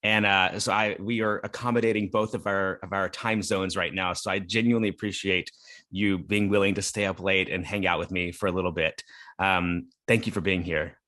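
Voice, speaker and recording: A man with a somewhat low voice hosts an interview podcast. He asks questions in a friendly, earnest way and fills his pauses with soft ums. His delivery is relaxed and conversational. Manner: friendly, earnest, many fillers. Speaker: podcast host.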